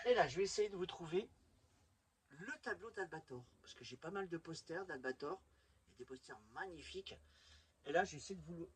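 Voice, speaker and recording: A man chatting casually and enthusiastically as he hosts a rambling home video about his collection. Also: on the high side. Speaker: host of a collectors' video channel. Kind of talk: casual vlog chat showing a collection. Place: indoors at home. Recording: noisy home recording.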